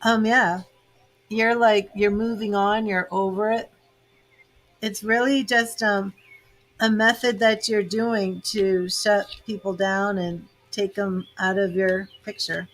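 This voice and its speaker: An American woman in her fifties hosting a live tarot reading, chatty and casual, laughing often and filling pauses with "um". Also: mid-range voice, flat delivery, low-quality recording. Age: 50s. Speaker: tarot reader hosting a livestream. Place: home with grandchildren and birds in earshot.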